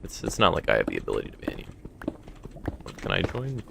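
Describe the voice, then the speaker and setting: A man's voice: extremely low pitch, wildly swooping pitch, fast, creaky, background noise. A young man chatting casually on a live stream, answering chat and rambling, his voice a little strained. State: voice feeling strained. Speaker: streamer running a game server.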